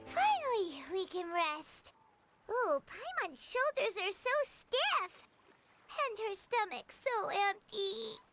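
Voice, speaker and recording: A woman voicing a small, cheerful, gluttonous fairy companion in a video game, squeaky and childlike, whining and exclaiming about herself in the third person. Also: big pitch swings, slow, sharp tone, full character voice. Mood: tired, whining. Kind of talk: video game character voice acting. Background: game music.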